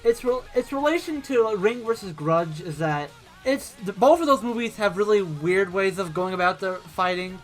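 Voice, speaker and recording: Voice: mid-range voice, lively intonation, normal speed, soft background music, poor audio. A co-host in a casual movie review chat, laughing often and restarting sentences.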